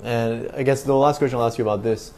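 A man's voice: medium pitch, steady tone, fast, a little mellow. A man hosting a casual sports podcast interview, speaking into a small microphone hooked up to a phone. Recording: noticeable background noise and ambience.

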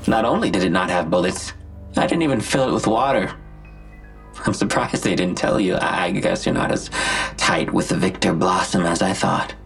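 A man playing a smug, gloating imprisoned villain in an audio drama, slow and mocking, with a gravelly, husky voice. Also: sits low, a bit bright, soft background music.

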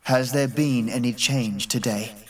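A man reading the short, cool, mocking lines of a proud master in a slow audiobook voice. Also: mid-range voice, steady tone, lightly acted. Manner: cool, curt, derisive. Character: aloof, proud male master, cold and mocking.

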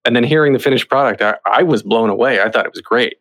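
A man's voice: mid-range voice, quick pace, fairly bright tone. A man chatting casually in an interview about creative work, in a conversational way. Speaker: musical theatre creative.

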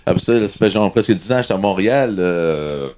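A man's voice: low-pitched, lively intonation, fast talker, a little mellow, a little hiss or hum. A man with a Franco-Ontarian French accent chatting casually and telling stories in slangy French mixed with English. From Ontario, Canada. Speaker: touring band member, guest. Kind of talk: casual bantering chat, storytelling.

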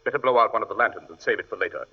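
An actor playing an imperious, coolly sardonic British detective in 1940s radio drama style, crisp, superior and dryly witty. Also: male voice, lowish voice, very fast. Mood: dry, composed. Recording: dull reverberant vintage radio recording.

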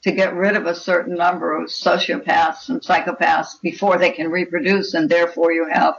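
A woman's voice: fairly low pitch, flat delivery, a little fast, vocal fry. A woman's voice comes through slightly dull, lo-fi audio. She speaks as an author being interviewed, explaining at length in a learned, discursive way. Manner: erudite, explanatory. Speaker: author, podcast guest.

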